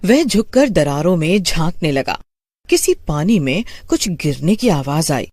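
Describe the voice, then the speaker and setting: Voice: medium pitch, big pitch swings, a little slow, darkish tone, dry recording. A narrator reading a scripted horror story.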